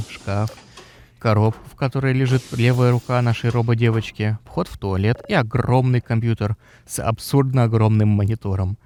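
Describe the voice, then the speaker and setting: A man's voice: on the low side, a little mellow. A man reading scripted narration for a game walkthrough video.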